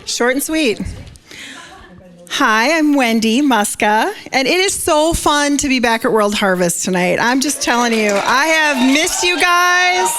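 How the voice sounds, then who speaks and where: A woman's voice: high voice, animated, unhurried, fairly bright tone. A woman in her fifties with an American accent, a counselor and guest panelist introducing herself to an audience in a chatty, candid way. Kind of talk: informal self-introduction to an audience.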